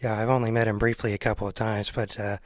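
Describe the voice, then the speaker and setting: A man's voice: lowish voice, flat delivery, fast, dry recording. A man hosting an interview show, chatting casually and earnestly. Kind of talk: casual conversation.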